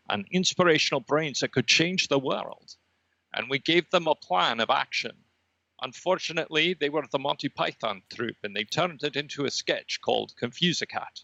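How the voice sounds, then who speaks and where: A man voicing an ominous, patient being of energy, speaking in a measured, solemn and portentous way on a comedy podcast. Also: fairly high pitch, gravelly, bright, forceful tone, no room sound, dull audio, heavily acted. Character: ominous, patient red-dot energy being, cryptic prophet.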